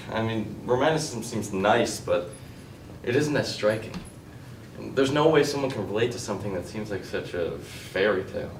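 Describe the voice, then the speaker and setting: An actor plays a self-assured man who talks down to his partner in halting, argumentative stage dialogue. His voice is fairly low, heard from a distance in a strongly echoing room with faint noise. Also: expressive. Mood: smug, dismissive. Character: James, condescending, self-assured man who lectures on art. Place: indoor stage, recorded from afar. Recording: echoey stage recording from a distance.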